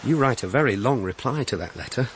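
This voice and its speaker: An actor playing a grave, gentle Victorian clergyman in a radio drama, formal, deliberate and reserved as he courts. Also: mid-range voice, lively intonation, slight background noise, lightly acted. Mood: amused. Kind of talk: period radio drama acting.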